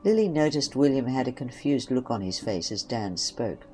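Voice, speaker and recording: A man's voice: medium pitch, animated, moderate pace, mellow, soft background music. A man reading scripted story narration for an audio drama.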